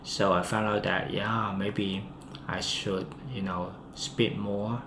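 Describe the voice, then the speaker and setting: A man speaking English with a Hong Kong accent, Cantonese his first language, talking on his own about stocks with many pauses and fillers. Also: lowish voice, flat delivery. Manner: unhurried, with frequent um and uh and loud emphatic phrase starts. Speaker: finance YouTuber. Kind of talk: solo monologue to camera. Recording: roomy, with faint background noise.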